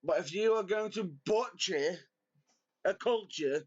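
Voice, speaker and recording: A man's voice: sits high, measured pace. A British man co-hosting a casual wrestling chat, given to theatrical, exasperated outbursts. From Britain. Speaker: podcast co-host. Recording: dull lo-fi audio.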